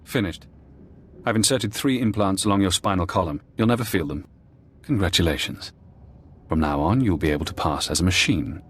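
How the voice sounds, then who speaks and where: A captive former spy in a sci-fi game. His voice sits very low. He talks with calm, clinical precision and a dry, matter-of-fact delivery. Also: normal speed, breathy edge. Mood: cool, businesslike. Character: calm, clinical imprisoned ex-operative with mental conditioning. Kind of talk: video game voice acting.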